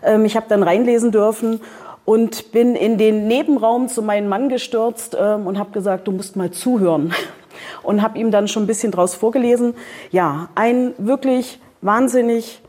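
Woman, publisher moderating a book event, mellow voice, chatty, filler-heavy. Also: a little high, normal speed. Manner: chatty, hesitant with many fillers. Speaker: publisher hosting a book presentation. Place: event venue with audience.

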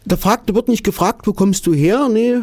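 A German man talks as an interview guest, his mellow voice sitting a little high for a man. He stumbles and repeats words, tacking on "ne" as he goes. The sound is dry and close. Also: no echo. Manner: halting, repeats words, frequent "ne" tags. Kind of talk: conversational interview. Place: living in Japan.

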